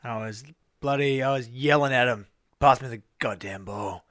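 A young man co-hosting a casual podcast, his voice hoarse from a cold, joking drily. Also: mid-range voice, normal speed, fairly bright tone, dry recording, clean studio sound. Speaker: podcast co-host. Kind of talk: casual chat.

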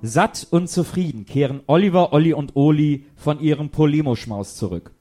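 A man playing the narrator of a comic mystery radio play, measured and mock-serious in his scripted narration. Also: fairly high pitch, over loud music, close to an everyday voice. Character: solemn storybook-style narrator of a kids' mystery parody.

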